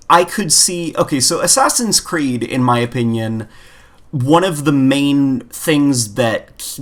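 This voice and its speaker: A man's voice: on the high side, a bit bright. A man co-hosting a casual podcast, chatting in an opinionated, emphatic way and repeating himself as he goes. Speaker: podcast co-host. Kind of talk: casual opinionated podcast chat about games.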